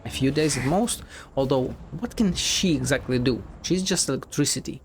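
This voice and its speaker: A man's voice: mid-range voice, normal speed, gravelly, faint music behind. A man reacting casually as he plays a story game, swearing freely as he goes. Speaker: gaming streamer.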